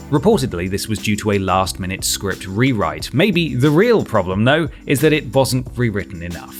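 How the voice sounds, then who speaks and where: A man reading scripted narration for a ranked list, with a wry, dry sense of humour. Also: medium pitch. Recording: music behind the voice. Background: background music.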